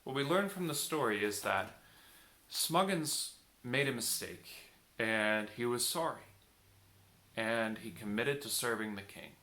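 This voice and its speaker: A man reading a bedtime story aloud as an expressive storybook narrator. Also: medium pitch, measured pace. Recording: echoey room.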